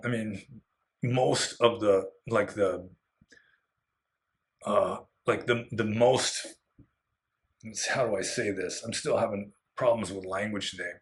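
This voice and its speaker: A Buddhist monk, a man who is slightly hoarse, answering viewer questions in an unscripted solo talk, rambling with many fillers and restarts and giving candid opinions. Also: sits low, fairly bright tone. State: getting hoarse.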